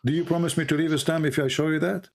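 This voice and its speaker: A man's voice: on the high side, fairly even intonation, fast, gravelly. A man hosting a live call-in debate, firing repeated pointed questions at a caller. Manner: pressing, repetitive questioning. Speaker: debate show host.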